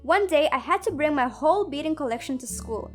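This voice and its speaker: Young woman, high voice, sarcastic storytime narration, soft music behind. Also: expressive, a little fast. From Lithuania. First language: Lithuanian. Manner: sarcastic, dramatic and self-mocking. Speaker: animator and narrator. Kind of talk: comedic animated storytime narration.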